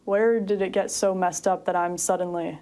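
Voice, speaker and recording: A woman who was jailed, speaking quickly and reflectively in a documentary interview. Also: fairly low pitch, fairly even intonation, mellow. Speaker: jail inmate.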